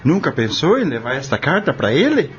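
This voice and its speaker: A man's voice: on the high side, sing-song, a little fast, a bit bright, slight background noise. A man acting an earnest, anguished farm worker in an audio drama, with emotional, emphatic, plain country speech. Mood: resolute, questioning. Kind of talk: dramatic radio-novela acting. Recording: dull lo-fi audio drama recording. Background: prominent background music.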